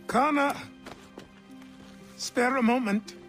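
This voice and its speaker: An actor playing a patient, wry older male mentor in a dramatic video game, advising in a measured way. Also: very high voice, fairly even intonation, heavily acted. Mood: instructive. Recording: loud music over the voice.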